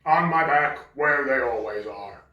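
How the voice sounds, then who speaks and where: A man's voice: mid-range voice, lively intonation, unhurried, muffled recording, natural voice. A man voicing a big, greedy, doting dragon dad in an improvised puppet show, hammy and relishing his words. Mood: boastful. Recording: roomy, echoing, distant from the mic.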